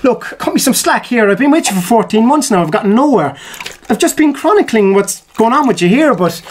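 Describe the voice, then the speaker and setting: An actor playing an anxious, earnest screenwriter in an audio drama, hesitant, stammering and repeating himself. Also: male voice, very high-pitched, big pitch swings, brisk, heavily acted. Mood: pleading, frustrated. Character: anxious, pleading young male screenwriter, earnest and flustered.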